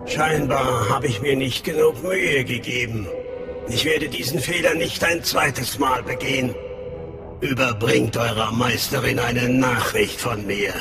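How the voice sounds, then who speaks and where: A male actor plays a menacing cyborg dark lord from a video game. He talks slowly, with scornful, commanding arrogance. Loud music plays beneath him. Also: mid-range voice, close to an everyday voice. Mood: threatening. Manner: imperious, threatening. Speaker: villain. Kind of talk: scripted video game acting in German.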